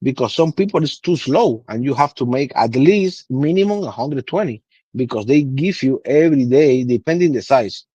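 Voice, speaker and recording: A man's voice: medium pitch, lively intonation, moderate pace, mellow, slightly rough, no echo, lo-fi sound. A man in his thirties from Cuba, with a Cuban Spanish accent and Spanish as his first language, explaining patiently in broken English in a casual interview. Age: 30s. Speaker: guest cigar blender.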